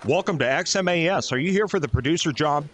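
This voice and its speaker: Man as a high-voiced, chatty radio station guy. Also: fast talker, over loud music, natural voice. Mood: brisk, welcoming. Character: friendly, chatty male North Pole radio station worker. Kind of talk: comic Christmas radio play acting.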